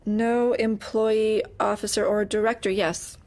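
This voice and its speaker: A woman's voice: medium pitch, level tone, unhurried, darkish tone, natural voice. An actress playing a guarded, uneasy female office employee in an audio drama, hesitant, polite and defensive. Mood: uneasy compliance. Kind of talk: audio drama acting, dark comedy.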